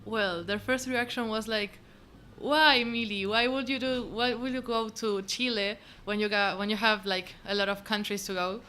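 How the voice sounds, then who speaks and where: A woman's voice: medium pitch, fairly even intonation, moderate pace, sharp tone, clean tone. A young Argentinian woman, a native Spanish speaker, answering casually as an interview guest and often searching for words.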